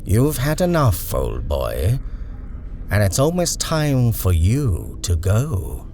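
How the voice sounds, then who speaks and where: Guy doing a smooth polite older gent, calm, deep voice. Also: slow pace, slight background noise, heavily acted. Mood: firm. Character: tall, distinguished, suave middle-aged gentleman.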